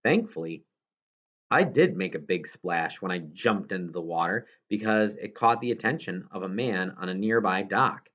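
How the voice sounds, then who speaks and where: A man's voice: deep voice, animated, normal speed, fairly bright tone, highs cut off. A man reading a children's novel aloud as scripted narration, now and then stumbling over a word. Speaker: audiobook reader.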